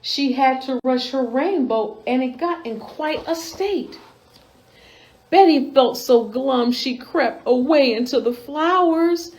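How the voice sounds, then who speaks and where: A woman reading a children's picture book aloud as a storytime host, with an expressive, sing-song rhyming delivery. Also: high-pitched, slow, low-quality recording. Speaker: library storytime reader.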